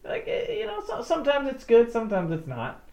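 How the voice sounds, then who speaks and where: A woman co-hosting a casual podcast about tabletop games, animated and laughing often as she talks. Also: sits high, fairly quick, creaky. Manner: laughs often, animated, restarts mid-sentence. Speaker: podcast co-host. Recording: roomy, dull lo-fi sound.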